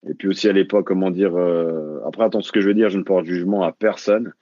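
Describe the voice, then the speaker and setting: A man's voice: low-pitched, steady tone, fast, warm tone, dry recording. A French man, a runner and mental coach, talking casually as a guest and restarting his sentences often. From France. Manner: hesitant, many restarts and fillers. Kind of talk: casual interview. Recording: dull lo-fi call audio.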